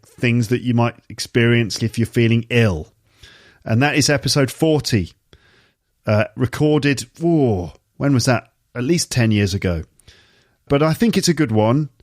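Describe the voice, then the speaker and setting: A man with a touch of a cold explains words to learners in a relaxed, conversational podcast monologue. His pitch sits in an ordinary middle range. The recording is close and dry, with almost no room sound. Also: dry recording. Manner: chatty, explains words slowly and repeats key terms. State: has a slight cold. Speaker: English teacher and podcast host.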